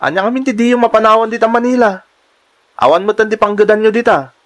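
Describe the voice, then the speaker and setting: A man plays a worried grown son with an Ilocano accent. His voice is young and notably high for a man. He delivers drama lines earnestly, in a pleading manner. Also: quick pace, fairly bright tone. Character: worried, dutiful grown son urging mother home. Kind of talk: amateur melodramatic drama acting.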